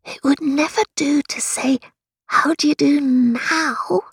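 A woman's voice: sits high, fairly even intonation, slow, no room sound, studio quality, voice pushed up. A woman voicing a polite, wide-eyed little girl musing in a storybook. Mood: unsure.

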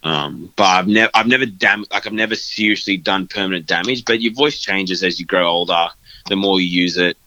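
A young man with a bright, somewhat low voice. He rambles loosely, leaning on fillers as he chats. The recording is dry and a little dull. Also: a little fast, no room sound. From Australia. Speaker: guest band vocalist. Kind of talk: casual interview chat.

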